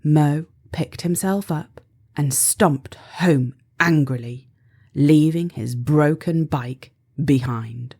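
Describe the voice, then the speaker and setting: A woman storyteller reading a children's picture book aloud in an expressive, deliberate way for young listeners. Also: sits low, breathy edge. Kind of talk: scripted children's audiobook narration.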